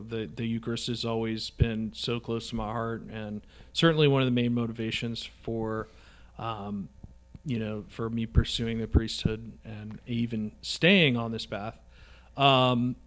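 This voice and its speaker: A young man preparing for the priesthood, answering interview questions earnestly and filling pauses with um and you know. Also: medium pitch, clean tone. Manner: earnest, with many ums and you knows. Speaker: seminarian guest.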